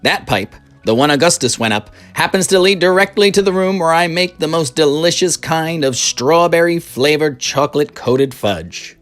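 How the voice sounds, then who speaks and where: A woman's voice: low voice, moderate pace, few pauses, soft background music, pitched above the performer's own voice, lightly acted. A woman voicing an eccentric male chocolate factory owner in an emphatic, theatrical manner. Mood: breezily emphatic.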